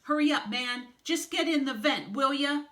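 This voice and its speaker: Woman doing a sharp, bossy, jumpy snake. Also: very high voice, slow, distant mic, pitched above the performer's own voice, full character voice. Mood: impatient. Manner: urgent, snapping orders.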